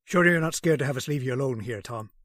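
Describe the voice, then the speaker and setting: An actor playing a gruff, fatherly older police sergeant in an old-time radio drama, speaking. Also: medium pitch, fast talker, a bit bright, slight background noise, lightly acted. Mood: fatherly, teasing.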